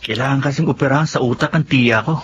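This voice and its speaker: A man's voice: medium pitch, quick pace, natural voice. A man with a Filipino accent playing a glib, carefree young office worker who lies easily in a radio drama. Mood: feigned sorrow. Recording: muffled audio with faint background noise.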